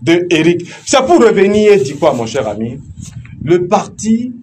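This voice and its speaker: Male debater, Beninese French accent, high and very animated delivery, argumentative, halting, with faint noise behind. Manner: argumentative, halting with repeated words. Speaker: political debate participant. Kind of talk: heated, animated political debate.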